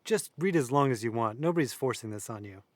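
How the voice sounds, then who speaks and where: A man's voice: mid-range voice, lively intonation, fast talker, no echo, natural voice. A man playing a level-headed young housemate who takes charge, in a naturalistic audio drama with casual delivery. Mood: laid-back. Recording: music under the dialogue. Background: music.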